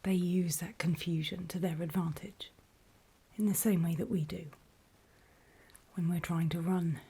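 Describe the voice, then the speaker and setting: Girl playing a paranoid zombie survivor lady, low breathy whisper, soft and dark, creepy asmr roleplay. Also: steady tone, heavily acted. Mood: hushed, wary warning. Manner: slow, hesitant, confiding, with pauses. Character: wary, paranoid female survivor of a zombie apocalypse.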